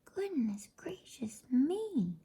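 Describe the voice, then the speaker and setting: A woman voicing a polite, flustered, inquisitive male faun in a storybook, courteous and hesitant, in a breathy whisper. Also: high-pitched, very animated, very slow, mellow, voice pushed up, heavily acted. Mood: startled. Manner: courteous, hesitant, repeats himself. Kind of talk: whispered bedtime storybook reading.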